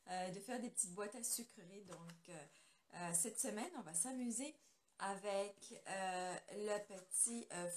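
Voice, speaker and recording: A woman's voice: medium pitch, a little hiss or hum. A woman from France hosting a live craft tutorial from her home studio, chatting loosely and greeting viewers by name. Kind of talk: casual live tutorial chat. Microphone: computer camera mic.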